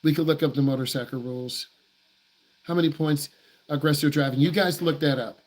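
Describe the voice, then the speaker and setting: A man's voice: on the high side, brisk. A man who works as a driving instructor answers viewer questions on a live stream in a blunt, emphatic way. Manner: blunt, emphatic, repeats himself for stress.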